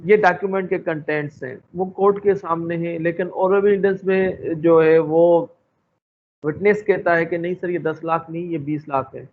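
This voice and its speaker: A man giving an explanatory law lecture in a halting way, often leaning on filler phrases and switching into English. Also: fairly high pitch, fairly even intonation. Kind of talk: explanatory lecture mixing Hindi-Urdu and English. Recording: thin phone-like audio with faint noise.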